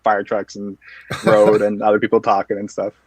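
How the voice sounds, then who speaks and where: A man's voice: fairly low pitch, monotone, normal speed, no room sound, low-quality recording. A man guesting on an interview, earnestly explaining how he works, step by step. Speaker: guest, video reviewer. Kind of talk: casual interview answers.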